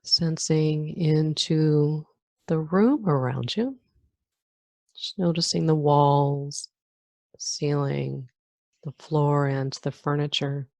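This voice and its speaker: A woman guiding a meditation as a teacher, giving calm, gentle instructions with long pauses between phrases. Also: deep voice, no echo. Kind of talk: guided meditation.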